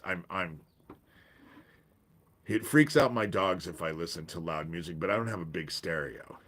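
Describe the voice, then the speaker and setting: A man hosting a casual livestream from his back porch, with a deep voice and a stuffy head cold, rambling with pauses and restarts. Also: a bit bright. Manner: rambling, pauses, self-interrupting. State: congested from a cold. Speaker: livestream host.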